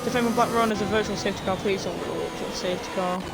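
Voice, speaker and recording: Loud engine noise runs behind a mid-pitched voice giving live esports racing commentary. The commentator is excitable and tends to trip over names while following the cars. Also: very fast, creaky. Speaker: race commentator.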